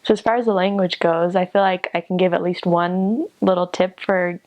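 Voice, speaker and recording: A woman's voice: on the low side, steady tone, normal speed, darkish tone, no echo, highs cut off. An American woman giving casual advice in a tentative, hedging way. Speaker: former missionary.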